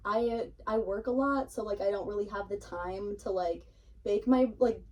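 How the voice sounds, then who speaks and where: A woman's voice: mid-range voice, normal speed, a little mellow, recorded from a distance. A woman chatting casually as a podcast guest, in an informal, hedging way full of filler "like"s. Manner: informal, hedging speech peppered with "like". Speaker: podcast guest, hobby baker and cake decorator. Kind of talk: casual interview chat.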